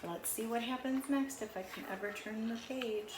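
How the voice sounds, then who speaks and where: A woman's voice: a little high, normal speed. Woman reading a picture book, roomy echo. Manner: explains words and pictures, asks questions, exclaims. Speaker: read-aloud storyteller. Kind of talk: picture book read-aloud with chatty asides to children. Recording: roomy echo, faint background noise.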